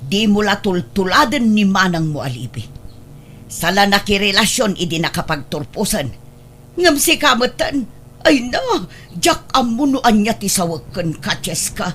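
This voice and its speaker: An actress plays a stern mother in a radio drama, ashamed and reproachful. Her scolding swings widely in pitch over faint background noise. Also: big pitch swings, a little slow, fairly bright tone. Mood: angry, ashamed.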